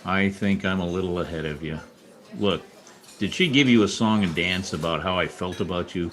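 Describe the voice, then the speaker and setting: A man's voice: low-pitched, normal speed, fairly bright tone. A man acting a wry, scheming newspaper reporter in an old-time radio comedy, with a theatrical delivery. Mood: conspiratorial.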